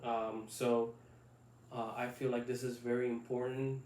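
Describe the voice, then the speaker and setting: Flat-voiced man on an echoey podcast. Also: mid-range voice. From Puerto Rico. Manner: halting, many fillers and restarts. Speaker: podcast co-host. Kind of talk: casual chat. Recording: echoey room, mic at a distance, faint noise.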